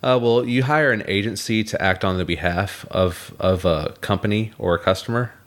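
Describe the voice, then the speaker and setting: A young man with a scratchy throat, co-hosting a casual podcast and explaining things in a measured way. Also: fairly low pitch, fairly bright tone. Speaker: podcast co-host.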